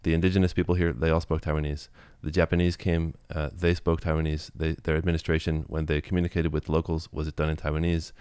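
A man guest in an interview, explaining at length in a relaxed way with many "you know"s and restarts. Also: rumbling low, smooth, no room sound. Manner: quick, thoughtful, full of "you know" and restarts.

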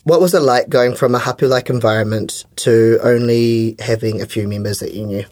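A man asks prepared interview questions in a mid-range voice. The sound is close and dry, and his phrasing is careful and measured. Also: mid-range voice, fairly even intonation, darkish tone, no room sound. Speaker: interviewer, podcast host.

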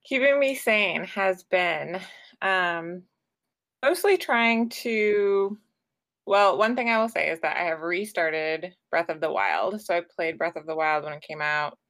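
A woman co-hosting a podcast, chatting casually and relaxed, laughing easily and using many "like" fillers. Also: lowish voice, unhurried, no echo. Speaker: podcast co-host. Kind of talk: casual chat.

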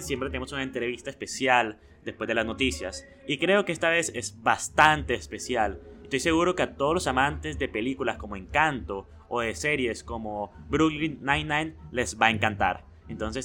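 Student podcast host, male, mid pitch, reads announcements plainly. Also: moderate pace, hardly any pauses. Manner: reads news announcements, then a loud energetic intro. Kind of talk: school news podcast hosting.

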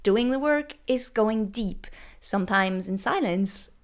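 A woman's voice: medium pitch, takes it slowly. Upbeat French woman hosting a podcast. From France. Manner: upbeat and playful, with rising questions. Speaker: podcast host. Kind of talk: scripted solo podcast talk.

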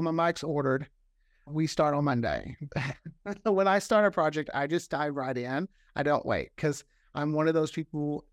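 A man, a podcast creator, chatting casually as a guest and telling stories in an animated way, acting out bits of dialogue. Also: fairly high pitch, dry recording, studio quality. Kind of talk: casual interview chat.

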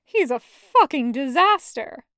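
A woman's voice: sits high, very animated, a little slow, sharp tone, dry recording, studio quality, exaggerated voice. A woman voicing a hurt, angry sister in an audio story, blunt and emphatic with sweary outbursts.

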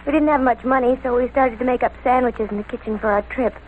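Girlish, unbothered teen killer, played by an actress, high voice, vintage radio drama with tinny, slightly noisy sound. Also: fast talker, lightly acted. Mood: eerily calm. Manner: matter-of-fact, girlish, unbothered. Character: sweet-faced, eerily calm, childlike teenage girl killer. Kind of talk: old-time radio drama acting.

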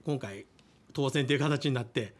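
A man speaking as a listener guest in a casual radio chat, polite and earnest, pausing on fillers. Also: mid-range voice.

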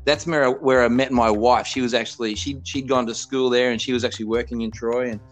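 Aussie basketball coach, 50s, rambles and restarts a lot, chatty interview, bit of music under it. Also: male voice, medium pitch, fairly even intonation, fast. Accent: Australian. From Australia. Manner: rambling, self-correcting storyteller. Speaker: college basketball head coach. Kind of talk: casual podcast interview.

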